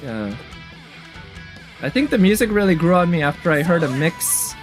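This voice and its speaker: A man's voice: fairly high pitch, very animated, normal speed. Casual man commentating over music. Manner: off-the-cuff musing, sometimes humming tunes. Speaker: streamer reacting to game trailers and ranking them. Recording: music playing behind the voice. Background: game trailer music with guitar.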